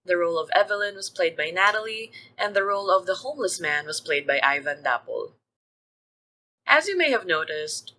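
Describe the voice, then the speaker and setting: Woman, slightly low pitch, bright forward tone, scripted podcast announcements. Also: rough recording. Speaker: podcast co-creator and producer.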